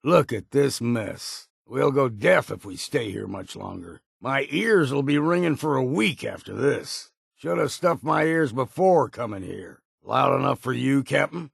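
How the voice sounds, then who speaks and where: An actor playing a gruff, weary old hunter in a video game, grumbling and wary in a folksy, plainspoken rustic drawl. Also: male voice, medium pitch, animated, measured pace, hardly any pauses, dry recording, studio quality, full character voice. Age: older. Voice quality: gravelly, husky. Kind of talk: video game character voice lines.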